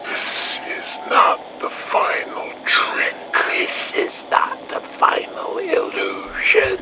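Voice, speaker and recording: A man playing the sinister ghost of a stage magician in a horror audio drama, hoarse and whispery, commanding as if reciting an incantation. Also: slow, lo-fi sound, close to an everyday voice. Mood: menacing. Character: sinister male spirit of a dead stage magician. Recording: very noisy, hissy background.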